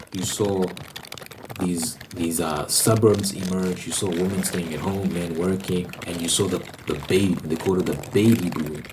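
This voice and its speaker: Low-pitched man rambling in ASMR style. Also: noisy background. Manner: hesitant, repeating words, informal. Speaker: host. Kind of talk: casual rambling ASMR monologue.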